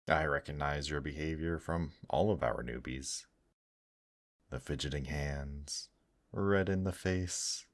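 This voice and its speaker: A man voicing a suave demon host in an intimate ASMR roleplay, courtly and gently teasing, addressing the listener with pet names. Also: extremely low pitch. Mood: welcoming, teasing.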